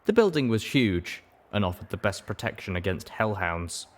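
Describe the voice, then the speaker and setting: A man's voice: lowish voice, big pitch swings, moderate pace. A man reading a first-person adventure story aloud as an audiobook narrator, in a scripted storytelling style.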